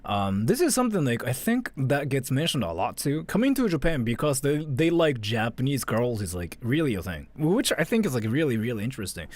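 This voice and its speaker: A man's voice: mid-range voice, very animated, a little fast, hardly any pauses. A man giving casual, off-the-cuff reaction commentary as a livestream host, rambling conversationally and leaning on filler words like "like".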